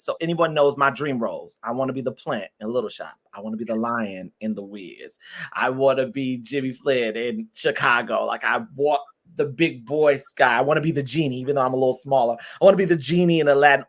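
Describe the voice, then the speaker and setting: A man in his thirties from Detroit, a guest singer chatting in an interview, expressive and animated. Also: medium pitch, brisk. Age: 30s. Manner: lively, expressive, swings from loud exclamations to soft asides. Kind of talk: casual interview chat.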